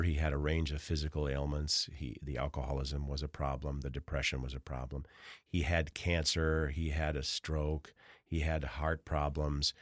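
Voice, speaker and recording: A middle-aged man with a notably deep, low voice answers interview questions in a measured, anecdotal way, pausing on filler sounds as he thinks. The recording is dry and close, with no room sound. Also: a bit bright, dry recording. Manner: measured, anecdotal storytelling with frequent "uh"s. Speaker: author and journalist guest.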